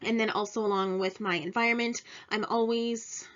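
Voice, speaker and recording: A woman's voice: medium pitch, fairly even intonation, moderate pace, a bit bright. A young woman giving an informal presentation about herself in a matter-of-fact, self-assured way. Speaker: presenter of personal test results, insurance claims adjuster. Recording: dull lo-fi recording.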